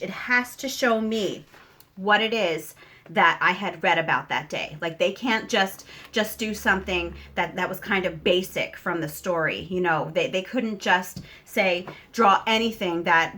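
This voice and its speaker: A woman's voice: mid-range voice, pushed and bright. A woman talking casually to viewers in an explanatory way, conversational and emphatic, often correcting herself as she goes. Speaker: homeschooling mother, video host.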